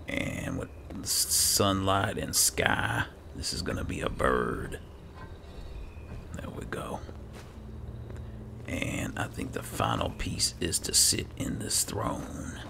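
A man murmuring low-key game commentary, thinking aloud and reading bits as he plays. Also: sing-song, takes it slowly, vocal fry, long pauses, background noise. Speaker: gamer host playing and roleplaying a fantasy game. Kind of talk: casual game commentary with read-aloud notes.

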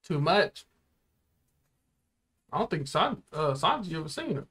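A young American man giving casual, slangy reactions in a relaxed chat. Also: on the high side, unhurried, husky. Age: young adult. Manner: slangy, informal. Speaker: anime reaction host. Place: small indoor room, seated.

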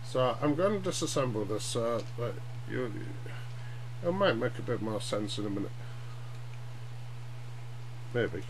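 Middle-aged male hobbyist, mid-pitched, talking through a demo haltingly and informally; homemade webcam sound with slight hum. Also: expressive. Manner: halting, tag questions like "yeah?" and "eh?". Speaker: hobbyist radio demonstrator. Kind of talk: informal explainer vlog. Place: small cluttered room at home, indoors. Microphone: webcam at arm's length. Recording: noisy webcam audio with hum.

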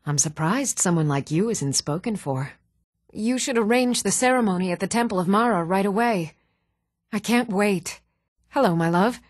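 An actress playing an even-toned fantasy townswoman in scripted video game dialogue, with a measured, composed delivery. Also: mid-range voice, no room sound, clean studio sound, close to an everyday voice.

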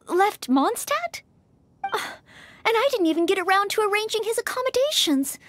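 A woman's voice: very high voice, heavily acted. A woman voicing a humble, earnest young maid and aspiring knight in a video game, polite, formal and hesitant. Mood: worried, dejected. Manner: formal, hesitant, sweetly apologetic. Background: game music.

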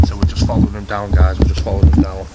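A man's voice: lowish voice, little pitch movement, very fast. A man vlogging an urban exploration, casually narrating to viewers and repeating his phrases as he walks through an abandoned site. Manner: keeps addressing viewers as guys, repeats phrases. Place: outdoors at night, then an abandoned factory. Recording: loud background noise, outdoor night.

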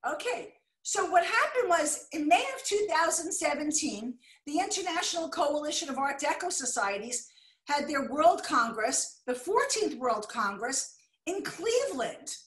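An older American woman with a high voice gives an art lecture over a video call. She talks in a chatty, excitable way, breaking off now and then to fuss with her slides. The sound is roomy and echoey, like a computer mic in a bare room. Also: measured pace. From United States.

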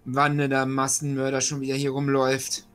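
A man's voice: mid-range voice, monotone, fairly quick, a bit bright, smooth. A man talking casually as a player in an online role-playing session. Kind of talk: casual game chat. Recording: online voice call, background music.

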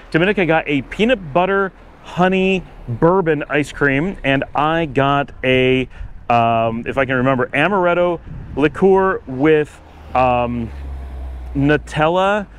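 A middle-aged man chatting casually in a walking vlog, rambling with lots of fillers and restarts, filmed outdoors on a city street with a camera held at arm's length. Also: a little high. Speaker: travel vlogger. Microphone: handheld action camera at arm's length. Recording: faint outdoor street noise.

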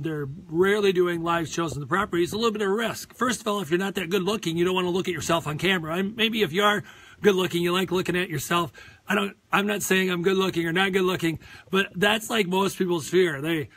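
A hoarse man in his forties with a Minnesotan accent talks into a phone he holds at arm's length, outdoors on a lakeside deck. His voice sits a little high for a man. He rambles earnestly, a real estate agent cheering his viewers on. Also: a bit bright, no room sound. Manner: rambling, earnest pep talk. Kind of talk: live property tour vlog. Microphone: phone held at arm's length.

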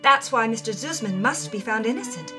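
A woman hosting a story podcast, reading journal entries in a wry, expressive way. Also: fairly high pitch, a little fast, music in the background. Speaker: host and narrator.

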